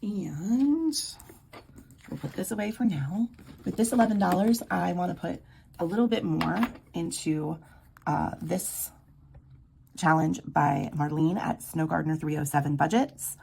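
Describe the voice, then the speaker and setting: Woman vlogger, hoarse from a sore throat, deep fry-edged voice, murmured counting. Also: a little slow, a little hiss or hum. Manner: quiet, murmured counting of bills. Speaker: budgeting vlogger. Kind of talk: casual cash-stuffing walkthrough.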